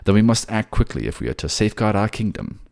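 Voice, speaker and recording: A man playing a brisk, soothing, protective mage king in a fantasy audio drama, with animated, emphatic delivery. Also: fairly low pitch, vocal fry, voice pushed up. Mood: businesslike.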